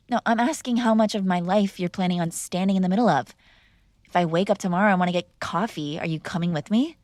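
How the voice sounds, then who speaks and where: A woman's voice: medium pitch, a little mellow, dry recording, close to an everyday voice. A woman playing a mob boss's spoiled, defiant daughter in an intimate ASMR roleplay, teasing and sarcastic in a conversational way, close to the microphone. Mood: questioning, exasperated. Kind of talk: ASMR girlfriend roleplay, intimate one-sided dialogue.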